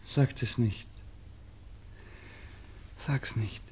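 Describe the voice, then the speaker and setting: An actor playing an affectionate, melancholy young gentleman in a radio drama, soft and intimate, with a Viennese accent. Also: medium pitch, extremely slow, breathy edge, in a whisper, heavily acted. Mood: tender, intimate. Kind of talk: German-language radio drama acting.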